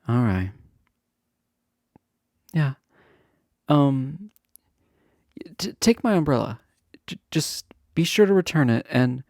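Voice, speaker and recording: Man playing a slow, mellow, flirty college guy. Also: medium pitch, no echo, clean studio sound. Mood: nervous, reluctant.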